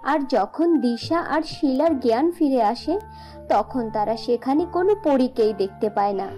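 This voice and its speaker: A woman's voice: high-pitched, animated, measured pace, dark tone, faint music behind. A woman narrating a scripted fairy tale for a children's cartoon. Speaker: storyteller, narrator.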